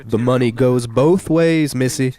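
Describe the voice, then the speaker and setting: A man playing a blustering, suspicious conservative neighbor in a radio drama, loud and scoffing. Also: medium pitch, unhurried, natural voice.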